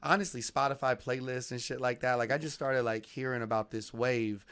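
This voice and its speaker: An American man hosts a radio interview with a clear, smooth voice at a middling pitch. He talks in a laid-back, slangy way, and the sound is close and dry. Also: fairly even intonation, a little fast, a bit bright, dry recording. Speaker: radio show host, interviewer.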